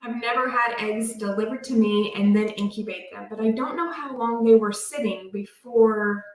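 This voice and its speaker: A talkative woman, chatting excitedly as a livestream co-host. Her voice sits a little high and sounds set back from the mic. The room is echoey. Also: moderate pace. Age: 30s. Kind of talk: casual chat on a homestead livestream. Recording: roomy, from a distance off the mic.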